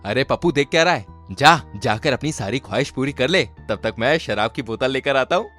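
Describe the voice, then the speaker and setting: A man's voice: mid-range voice, lively intonation, moderate pace, a bit bright, soft background music. A man acting a sly, lecherous young groom, smarmy and mocking, in a horror cartoon story. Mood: mocking, callous. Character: sly, lecherous young male groom, two-faced villain.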